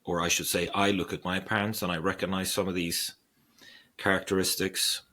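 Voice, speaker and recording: A low-pitched man in his forties from Sweden, speaking as a guest in an interview. He answers slowly and thoughtfully, often restarting his sentences. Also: flat delivery, sharp tone. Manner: thoughtful, hesitant, many restarts. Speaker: investor guest.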